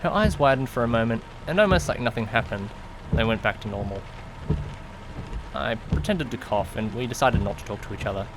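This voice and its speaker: A man's voice: animated, brisk, music in the background, lightly acted. A man playing an awkward, easily flustered young driver who narrates an audio drama in the first person, musing conversationally to himself. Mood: musing, uneasy. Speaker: narrator and lead character. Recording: noisy, rain and car rumble behind. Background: rain and vehicle sounds.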